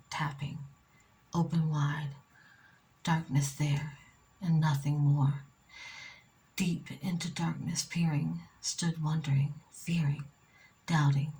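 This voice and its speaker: A soft-spoken woman reading poetry in ASMR style, often whispering, with slow, deliberate phrasing and pauses between short phrases. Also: low-pitched, slight background noise, low-quality recording. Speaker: poet reading own work.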